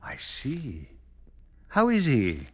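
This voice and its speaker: An actor playing a mannered, formal gentleman doctor accused of murder, in 1950s radio drama style. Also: mid-range voice, wildly swooping pitch, extremely slow, husky, full character voice. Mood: evasive politeness. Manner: formal, theatrical, indignant. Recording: dull vintage radio broadcast.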